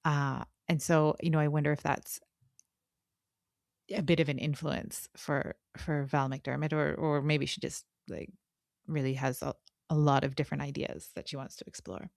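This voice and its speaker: A woman's voice: low-pitched, little pitch movement, normal speed, vocal fry, darkish tone, no room sound, clean studio sound. A woman co-hosting a podcast, talking casually about books in a conversational, explanatory way. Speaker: podcast co-host. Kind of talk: casual book discussion.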